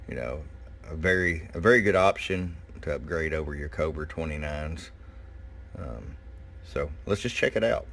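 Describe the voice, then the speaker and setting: A man giving a casual, hands-on product review in a folksy, unhurried way with pauses. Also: extremely low pitch, expressive, fairly bright tone. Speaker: shop owner presenting product. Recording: clear background noise and room ambience. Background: background noise.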